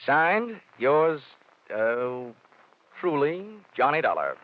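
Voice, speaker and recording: A man's voice: medium pitch, sing-song, takes it slowly. An American man acting a tough, wisecracking insurance investigator in 1940s radio drama, with a hard-boiled, sardonic, punchy manner. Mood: dry, wry. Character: tough, wisecracking male insurance investigator, narrating his case. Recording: muffled old radio broadcast with music.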